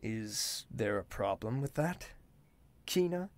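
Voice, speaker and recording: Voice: mid-range voice, expressive, slow pace. An actor playing a haughty, demanding young prince in an audio drama, with a clipped, formal, imperious way of talking. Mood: curt, displeased.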